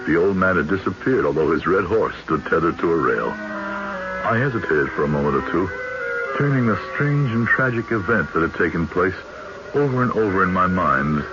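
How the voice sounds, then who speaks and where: An actor playing an earnest, formal country judge who also narrates a radio mystery in a deliberate way. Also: male voice, low voice, expressive, music in the background. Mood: grave, narrating. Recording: noisy, muffled vintage radio broadcast.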